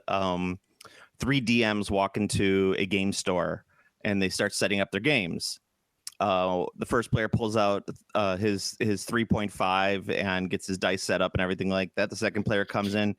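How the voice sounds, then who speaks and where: A man's voice: fairly low pitch, a bit bright, no room sound. A man co-hosting a casual gaming podcast, joking and laughing often, who slips into silly put-on accents. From Polish background. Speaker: podcast co-host. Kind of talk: casual chat.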